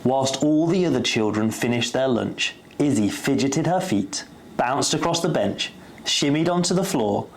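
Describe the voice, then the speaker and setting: Slow-paced male children's storyteller. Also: mid-range voice, expressive, rough recording. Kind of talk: expressive bedtime story narration. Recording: faint background noise.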